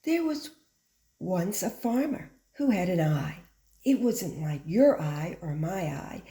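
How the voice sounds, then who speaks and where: A woman's voice: fairly low pitch, sing-song, slow pace, mellow. Echoey woman reading to young children. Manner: simple, instructive phrasing aimed at young children. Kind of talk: animated children's picture book read-aloud. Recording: echoey room.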